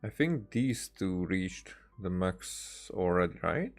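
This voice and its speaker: Guy streaming a game, real slow talker, kinda hesitant, mumbling thoughts out loud over music. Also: low voice, big pitch swings. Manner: hesitant, thinking aloud. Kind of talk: casual live gameplay commentary.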